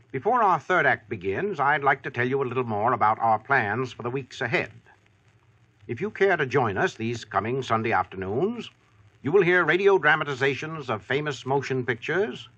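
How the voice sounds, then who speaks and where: An American man hosting as a formal, measured announcer in 1940s radio style. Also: medium pitch, bright, forceful tone, husky, dull audio. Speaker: announcer and host.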